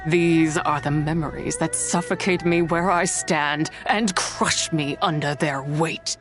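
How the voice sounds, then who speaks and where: A woman's voice: deep voice, steady tone, a little slow. An actress voicing a proud, wrathful and sorrowful female spirit in a video game, speaking in solemn, grand, archaic phrases. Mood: anguished, burning. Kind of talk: dramatic scripted video game voice acting. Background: music.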